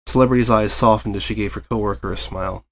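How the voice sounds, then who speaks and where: A man reading fan fiction aloud as an expressive storyteller, in scripted narration. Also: lowish voice, quick pace, slight background noise.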